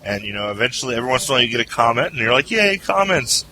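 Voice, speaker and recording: A man's voice: mid-range voice, big pitch swings, rapid-fire, sharp tone, slight background noise, low-quality recording. A man hosting a casual podcast panel chat, loose and jokey, laughing often. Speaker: podcast host.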